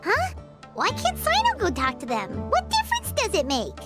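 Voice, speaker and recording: A woman voicing a small, curious, naive childlike game companion with a squeaky voice. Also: wildly swooping pitch, normal speed, loud music behind the voice, full character voice. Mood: curious, puzzled. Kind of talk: video game voice acting.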